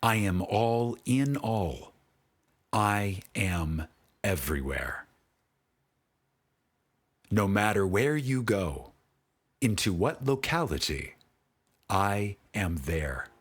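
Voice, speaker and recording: Slow, expressive male spiritual audiobook narrator. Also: fairly low pitch. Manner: solemn, deliberate, with dramatic pauses.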